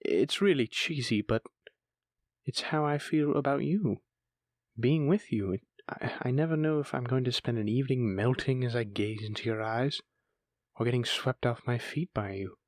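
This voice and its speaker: A man's voice: medium pitch, normal speed, no room sound, studio quality, close to an everyday voice. A man playing a bashful, bookish dragon lover in a romantic fantasy audio roleplay, stammering tenderly as he speaks to his sweetheart. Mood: adoring.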